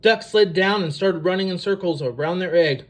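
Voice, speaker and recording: A storyteller reading a picture book aloud for young children in an animated, expressive way. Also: mid-range voice, normal speed.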